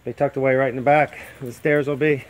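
A man's voice: medium pitch, level tone, fairly quick. Plain-spoken man touring a building site. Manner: plain-spoken, explanatory, with frequent fillers and restarts. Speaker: home builder. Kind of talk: casual site walkthrough. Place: outdoor construction site. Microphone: handheld phone camera.

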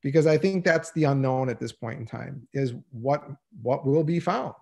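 A man's voice: mid-range voice, vocal fry, dark tone. A man, a school administrator explaining plans carefully in a formal meeting, with frequent fillers, speaking from home on a video call. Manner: measured, hedging with many fillers. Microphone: laptop mic on a video call.

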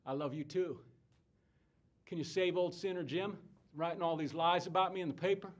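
A man's voice: sits high, steady tone, normal speed. An actor playing a fiery conman revivalist preacher in a theatrical stage monologue, bombastic and folksy, moving between confiding and exhorting. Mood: pleading prayer. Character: fiery conman revivalist preacher crusading against booze and sin.

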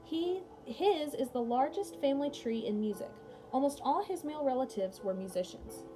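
High-voiced young woman teaching, chatty. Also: animated, moderate pace. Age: young adult. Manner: chatty, informal asides between read passages. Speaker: music teacher. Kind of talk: casual read-aloud lesson for pupils. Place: small room at home, indoors. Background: music playing behind the voice.

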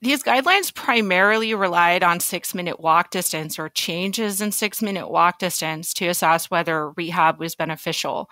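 A woman's voice: fairly low pitch, sharp tone, no echo. A woman speaking as a physician guest on a podcast, explaining things in an articulate way.

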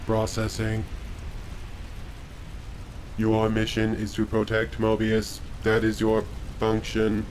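A man playing an emotionless, menacing combat robot in a comic dub, speaking in a formal monotone. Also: on the low side, slow, long pauses, a little hiss or hum, poor audio. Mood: flat, clinical. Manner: monotone, formal machine-like delivery. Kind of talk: scripted comic dub acting.

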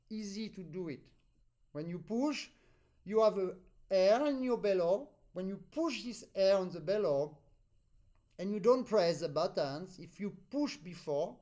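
A man with a French accent, a native French speaker, teaching an accordion master class over a video call, animated and pausing deliberately between phrases. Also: high-pitched. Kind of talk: online master class instruction.

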